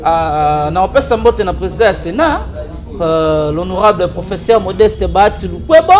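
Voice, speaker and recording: A man with a Congolese accent, an animated and argumentative political commentator on a talk show. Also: high voice, normal speed, over music. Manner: animated, argumentative, mixes French and Lingala.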